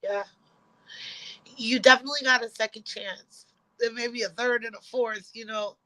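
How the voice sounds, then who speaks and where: A woman hosting a casual interview, encouraging her guest in a relaxed, plain-spoken way. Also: fairly high pitch, normal speed, dry recording, poor audio. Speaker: podcast host.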